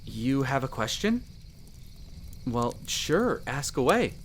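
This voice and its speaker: A man's voice: medium pitch, sing-song, slow pace, a little hiss or hum. A man playing a friendly young elf explorer in a roleplay audio drama, talking conversationally and earnestly to the listener in a self-deprecating way. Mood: candid, self-deprecating. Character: friendly young male elf explorer, modest half-outsider mage.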